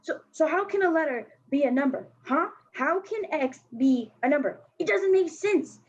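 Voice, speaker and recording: Woman acting mouthy defensive 14 yr old skater girl, high scratchy voice, slangy, dull lo-fi audio. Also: expressive, moderate pace, a little mellow. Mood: agitated, pleading. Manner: blunt, slangy, sarcastic. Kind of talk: staged play reading. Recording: dull lo-fi recording.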